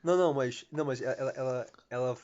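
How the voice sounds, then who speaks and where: A man's voice: mid-range voice, fast talker, darkish tone, lo-fi sound. A young man asking his grandmother about family history, hesitant and repeating words as he goes. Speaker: grandson interviewer. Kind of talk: casual family conversation.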